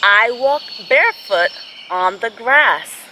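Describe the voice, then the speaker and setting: A woman hosting a children's storybook read-aloud, speaking in an expressive, deliberate way with drawn-out phrasing. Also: on the high side, slight background noise. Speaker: host reading picture books aloud for children.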